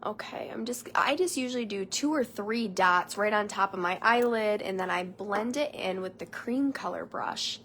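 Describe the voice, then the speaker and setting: A woman's voice: mid-range voice, moderate pace, hardly any pauses, a bit bright, a little hiss or hum. A young woman with a nasal, stuffy voice from a cold, chatting casually through a live makeup tutorial at home. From Michigan, United States. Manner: chatty, rambling, friendly asides to viewers. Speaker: beauty consultant doing a live makeup tutorial. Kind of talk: casual live-stream chat. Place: home room.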